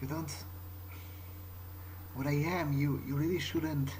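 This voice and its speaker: A man's voice: on the high side, fairly even intonation, slow, a little mellow, a little hiss or hum, rough recording. A man improvising an in-character video game monologue as a brooding vampire, hesitant and often repeating his words. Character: brooding, guilt-ridden young male vampire. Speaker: let's player role-playing in character. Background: video game ambience.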